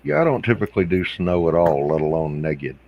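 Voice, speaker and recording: A man's voice: sits low, very animated, brisk, creaky, a little mellow. A man from South Carolina with an American accent, chatting casually in a wry, joking way. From Hilton Head Island, South Carolina. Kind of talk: casual chat.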